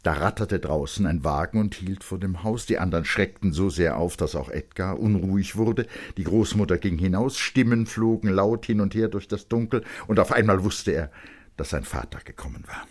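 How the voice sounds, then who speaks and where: A man narrating literary fiction in a dramatic, expressive and measured storytelling style. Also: sits low.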